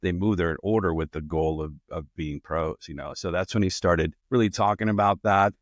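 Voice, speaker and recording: Very deep-voiced man chatting, dry sound. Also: fairly quick, no room sound. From Colombia. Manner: relaxed, rambling with frequent restarts. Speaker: guest. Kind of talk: casual conversation.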